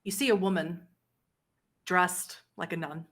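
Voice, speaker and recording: A woman speaking as game master, narrating a tabletop horror roleplay game in a measured, descriptive way. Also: lowish voice, fairly even intonation, fairly bright tone.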